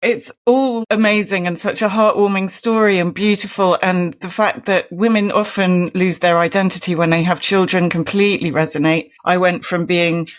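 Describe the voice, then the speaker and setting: A woman's voice: lowish voice, steady tone, normal speed, few pauses. A woman hosting an interview in a casual, conversational style. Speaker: interview host.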